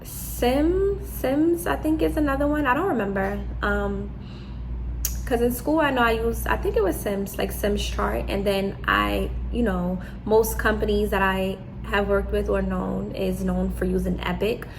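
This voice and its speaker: Gravelly New Yorker giving blunt advice. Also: female voice, medium pitch, measured pace, a little hiss or hum, low-quality recording. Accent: New York. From New York City. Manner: direct, chatty, repeats "okay?" to drive points home. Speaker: vlogger giving job interview tips. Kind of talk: casual advice talk to camera.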